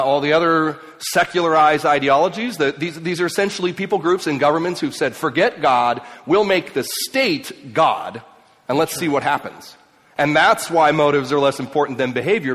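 Impassioned man in a reverberant hall. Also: a little high. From Mexico and Ecuador. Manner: impassioned, emphatic, swings between loud and quiet. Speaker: speaker. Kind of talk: speech to an audience.

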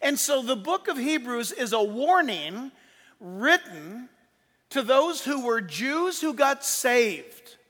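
A man preaching a sermon as pastor, emphatic, rising into loud bursts and dropping low for asides. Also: sing-song, slow. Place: church sanctuary. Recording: long reverb of a hall.

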